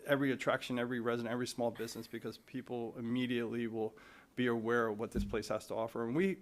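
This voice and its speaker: A man's voice: mid-range voice, level tone, fast. A man testifying at a legislative hearing about regional tourism, speaking conversationally and often restarting with repeated words.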